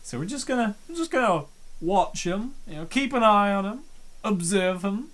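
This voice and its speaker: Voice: mid-range voice, animated, moderate pace, a bit bright. A streamer giving casual, joking commentary over a visual novel, laughing and rambling. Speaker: game streamer. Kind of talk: casual let's-play commentary.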